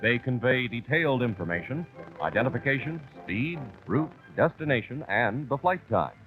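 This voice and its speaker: A man's voice: medium pitch, expressive, moderate pace, over music. An American man reading scripted documentary narration on an old, muffled 1960s television soundtrack. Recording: muffled 1964 TV kinescope, narrow band.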